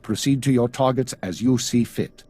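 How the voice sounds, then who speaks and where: Man acting a husky, commanding male spymaster. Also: mid-range voice, normal speed, mellow, close to an everyday voice. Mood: grave, commanding. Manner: clipped, authoritative. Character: stern male spymaster, commanding and coolly measured. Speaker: intelligence chief. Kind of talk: video game voice acting.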